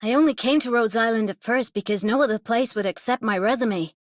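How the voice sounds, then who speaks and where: A woman's voice: a little high, brisk, no room sound, clean studio sound, close to an everyday voice. A woman voicing a determined, optimistic young squad operator in a game, earnest and composed. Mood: sincere, upbeat. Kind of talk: scripted game voice lines.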